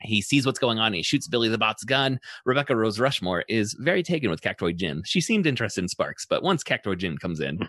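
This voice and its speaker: Guy podcast host doing a chatty recap, bright forward voice, lively and into it, dry sound. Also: on the low side, brisk, hardly any pauses, no room sound. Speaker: podcast host summarizing episodes. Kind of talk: conversational episode recap with commentary.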